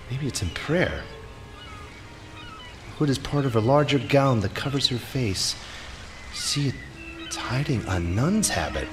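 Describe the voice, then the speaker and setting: An actor playing an exasperated, excitable and dreamy artist in a theatrical, exclamatory stage performance. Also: male voice, mid-range voice. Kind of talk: theatrical live stage monologue. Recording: live theatre recording, clear background noise.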